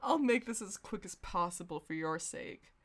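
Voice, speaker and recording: A woman's voice: fairly low pitch, expressive, normal speed. Woman reading as a cold, formal male vampire. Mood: cold, grave. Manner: cool, formal, grave. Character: cold, stony young male vampire protecting his family.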